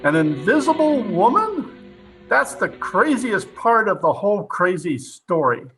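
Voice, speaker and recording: An actor playing a hard-nosed, sarcastic police captain in an old-time radio drama, interrogating forcefully and full of disbelief. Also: male voice, high-pitched, big pitch swings, measured pace, music in the background, exaggerated voice.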